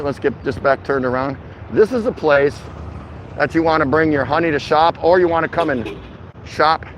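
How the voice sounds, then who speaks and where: Higher-voiced man chatting on a livestream walk. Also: fairly bright tone, rough recording. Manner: easygoing, rambling, slangy, addresses viewers by name. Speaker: vlogger. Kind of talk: casual livestream walking-tour chat. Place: outdoors, walking through a city shopping district. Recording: outdoor city noise, passing traffic.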